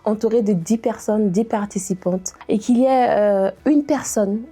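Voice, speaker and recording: A woman in her thirties from Côte d'Ivoire, a diversity and inclusion trainer, giving a testimony with frequent pauses and hesitations. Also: mid-range voice, mellow, husky. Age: 30s. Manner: hesitant, many pauses and "euh" fillers. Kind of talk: interview testimony to camera.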